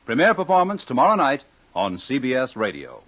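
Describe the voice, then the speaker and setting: Animated American vintage radio announcer man. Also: mid-range voice, a little fast. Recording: muffled vintage radio recording.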